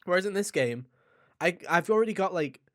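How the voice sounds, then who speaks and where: A man's voice: high-pitched, lively intonation, a little fast, a bit bright. A man co-hosting a gaming podcast, chatting casually and enthusiastically in a joking, sweary way. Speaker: podcast host and game reviewer.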